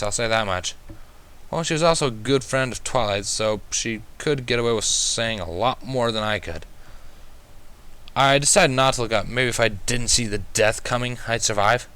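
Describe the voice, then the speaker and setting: A man's voice: mid-range voice, bright, forceful tone, clean tone. Male amateur audiobook reader, mid-range voice, wry first-person narration with a dry touch. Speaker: amateur fan fiction reader.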